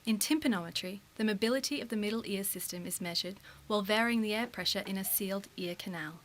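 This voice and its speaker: A woman reading scripted instructional narration in a formal, measured, explanatory way. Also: mid-range voice, fairly bright tone. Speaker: narrator of a training video.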